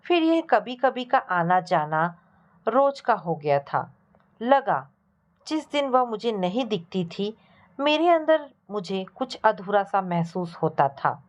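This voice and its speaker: A woman reading a scripted first-person story as a narrator, in a measured storytelling way. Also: mid-range voice, muffled recording.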